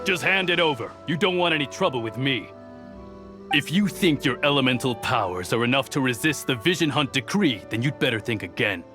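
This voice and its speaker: A smug, menacing soldier played by an actor who issues threats in a mid-pitched voice. Game music is clearly audible behind him. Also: male voice, lively intonation, a little fast, lightly acted. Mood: threatening. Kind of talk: game voice acting.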